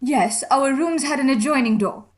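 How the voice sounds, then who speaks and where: Casting: actress as young heiress at an inquest, high voice, earnest and grieving, formal period radio drama. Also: female voice, moderate pace, lightly acted. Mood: earnest, composed.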